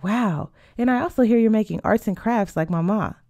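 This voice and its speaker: A woman reading the part of a playful, bold teenage girl in a storybook, teasing and animated. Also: mid-range voice, normal speed, dry recording, lightly acted. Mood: lively, curious.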